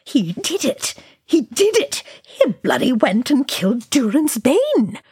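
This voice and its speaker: An excitable dwarf, played by a woman gibbers wildly in a high, swooping voice. He is a blunt storybook character who howls his words. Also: slow, slightly rough, no room sound, studio quality, full character voice. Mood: wildly elated. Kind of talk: dramatic storybook character reading.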